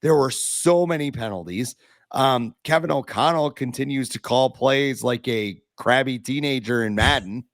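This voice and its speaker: A man on a sports podcast, hoarse and raspy from being sick, talking bluntly and with self-deprecating humor. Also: medium pitch, normal speed, dry recording, studio quality. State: hoarse from illness. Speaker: podcast co-host. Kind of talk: casual sports podcast talk.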